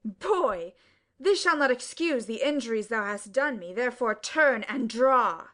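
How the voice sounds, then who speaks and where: A woman's voice: a little high, lively intonation, unhurried, fairly bright tone. A woman playing a haughty, aggressive young duelist in a Shakespeare audiobook, with curt, challenging delivery. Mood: hostile, challenging. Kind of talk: Shakespeare audiobook dramatic reading.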